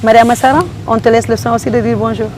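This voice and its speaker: A woman's voice: on the high side, fairly even intonation, fast talker, background noise. A woman hosting a panel interview, talking with frequent hesitations and fillers. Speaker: radio show host.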